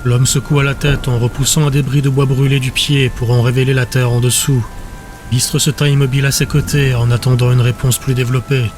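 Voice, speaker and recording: A man's voice: medium pitch, flat delivery, fairly quick, background noise. A man giving scripted narration for a fantasy audiobook.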